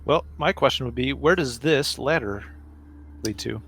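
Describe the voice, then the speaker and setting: A man with a medium-pitched voice takes part in a tabletop game. He talks casually with the other players over faint background noise. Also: moderate pace, creaky, a bit bright.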